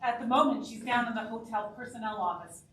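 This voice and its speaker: A woman's voice: on the high side, fast, natural voice. An actress playing a worried, earnest woman in a staged musical reading, plain-spoken and concerned as she confides. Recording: echoey room, distant mic, faint noise.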